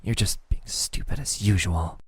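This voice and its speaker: Man playing a husky, awkward shy boy. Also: low-pitched, normal speed, no room sound, clean studio sound. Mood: flustered, defensive. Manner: hesitant, dismissive, flustered.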